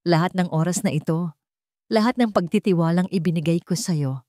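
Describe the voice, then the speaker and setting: A woman's voice: lowish voice, fairly quick, warm tone, no room sound, studio quality, close to an everyday voice. A storyteller voicing an icy, accusing rich mother. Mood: cold, sarcastic. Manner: accusing, cutting, commanding. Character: cold, wounded, imperious billionaire mother confronting a deceiver. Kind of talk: dramatic storybook dialogue.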